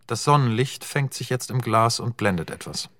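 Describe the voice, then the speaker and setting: A man reads out stage directions for a radio play in a plain, even manner. The recording is dry and close, with no room around him. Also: medium pitch, normal speed, no echo. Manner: matter-of-fact, even reading. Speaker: narrator reading stage directions. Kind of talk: scripted narration.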